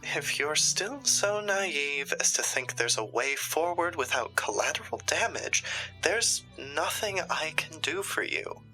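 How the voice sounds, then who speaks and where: A woman's voice: low-pitched, animated, measured pace, pushed and bright, soft background music, exaggerated voice, low-quality recording. A woman playing a haughty, sardonic, ruthless occult shopkeeper in a theatrical, condescending drama style. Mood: cold, scornful. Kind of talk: dramatic audio roleplay acting.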